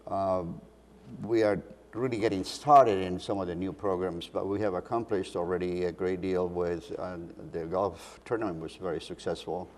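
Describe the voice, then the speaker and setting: An older man from Colombia, answering interview questions in a halting way with many pauses. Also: deep voice, steady tone, darkish tone. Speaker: guest, council chair. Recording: echoey room with faint hiss.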